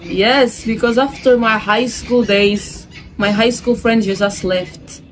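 Relaxed young woman from Angola. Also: on the high side, slow pace. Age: young adult. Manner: relaxed, thoughtful, laughs softly. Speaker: guest. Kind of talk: casual interview. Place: indoors, room with a red wall and a barred window. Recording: noisy home video.

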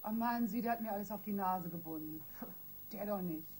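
Actress as a mellow-voiced defiant streetwise woman. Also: mid-range voice, a little fast, a little hiss or hum, natural voice. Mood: defiant, guarded. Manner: curt, dismissive. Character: defiant, streetwise woman under police questioning. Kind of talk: German radio crime drama acting.

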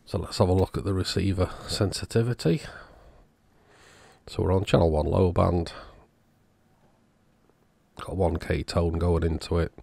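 Deep, gravelly-voiced man narrating repairs. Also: steady tone, a little slow, long pauses, darkish tone, no echo. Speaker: host of an electronics repair channel. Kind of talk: casual repair walkthrough narration.

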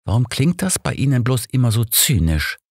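A man's voice: mid-range voice, sing-song, normal speed, darkish tone, slightly rough, dry recording, voice pushed up. A man voicing a compassionate older male doctor, earnest and emphatic, in an audiobook. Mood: teasing. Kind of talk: audiobook character dialogue.

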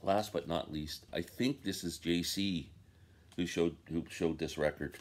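A man's voice: low voice, measured pace, slight background noise. A man in his fifties, sitting at home and casually chatting about records he bought, rambling and enthusiastic. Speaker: vinyl collector vlogger. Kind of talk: casual record show-and-tell. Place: home room with framed posters, indoors.

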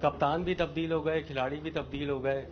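A man's voice: on the high side, steady tone, a little fast. A man, a reporter asking a question at a press conference. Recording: dull sound, clear room noise.

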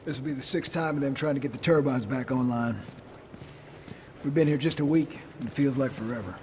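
An actor playing an easygoing younger brother, a rugged survivor in a video game, with a folksy, casual way of talking. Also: male voice, mid-range voice, fairly quick, darkish tone, slight background noise, close to an everyday voice. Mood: relaxed. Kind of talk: naturalistic video game acting.

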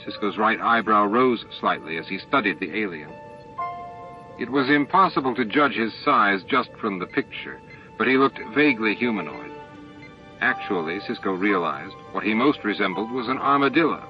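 A man's voice: on the low side, lively intonation, normal speed. A man reading scripted science fiction narration as an audiobook narrator. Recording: dull, band-limited audiobook transfer. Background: faint music.

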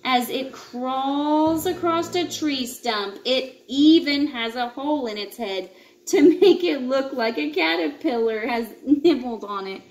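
A woman in her thirties reading a children's nature book aloud at home in an expressive way, with asides to young listeners. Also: high-pitched, measured pace. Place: indoors, open living room and kitchen at home. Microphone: camera a short distance away. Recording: echoey room with clear background noise.

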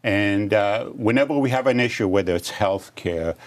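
An older man from Romania, a candidate being interviewed in a studio, answering in a measured way with many restarts. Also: lowish voice. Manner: measured, with frequent restarts and fillers. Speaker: congressional candidate guest. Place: dark studio set, seated at table.

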